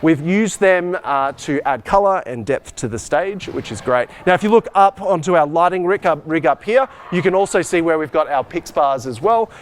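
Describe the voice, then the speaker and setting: A man's voice: a little high, lively intonation, moderate pace, hardly any pauses. A man giving an informal technical walk-through in a school hall, explaining things to camera as he shows equipment. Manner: explanatory, speaking to camera while showing equipment. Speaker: high school department head and tour guide. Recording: clear ambient noise in hall.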